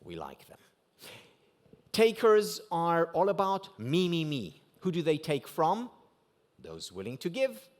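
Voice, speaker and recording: A man's voice: on the high side, huge pitch swings, slow. A man with an Israeli accent, a native Hebrew speaker, giving a motivational keynote talk at a gala dinner, asking rhetorical questions and punching key words. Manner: rhetorical questions with strong rises and drops for emphasis. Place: banquet hall at a gala dinner.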